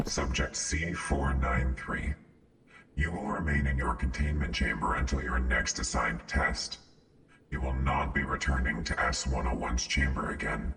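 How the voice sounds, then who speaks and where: Man voicing an extremely deep, echoing, cold guard. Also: steady tone, unhurried, a bit bright, faint music behind, full character voice. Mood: cold, official. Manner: stern, clipped, official. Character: cold, authoritarian male containment facility guard. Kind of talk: audio roleplay acting, formal announcements. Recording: echoey, distant, slightly noisy announcement.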